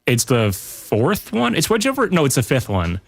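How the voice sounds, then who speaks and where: A man's voice: medium pitch, huge pitch swings, moderate pace, gravelly. A man talking casually as a podcast guest, in an informal way with rambling asides. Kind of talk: casual pop culture chat.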